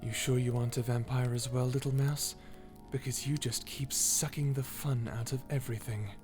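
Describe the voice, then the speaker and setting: A woman's voice: rumbling low, steady tone, moderate pace, over music, voice pushed down. A woman voicing an edgy, sly male vampire in a character audio roleplay, with a smug, drawling, sarcastic manner. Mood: sly, teasing.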